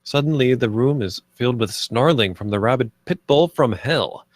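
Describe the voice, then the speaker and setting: Male narrator for screenplay directions, medium pitch, close dry sound, scripted delivery. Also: lively intonation, moderate pace, no echo. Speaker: narrator reading stage directions.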